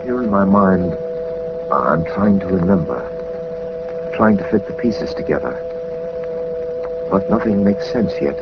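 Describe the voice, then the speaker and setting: An actor playing a suave, methodical gentleman detective in 1940s radio drama style, urbane and theatrical, with wordy, dry self-possession. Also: low-pitched, expressive, a little slow, long pauses. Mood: dazed, tense. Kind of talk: 1940s radio drama acting, first-person narration. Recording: muffled, noisy old 1940s radio recording.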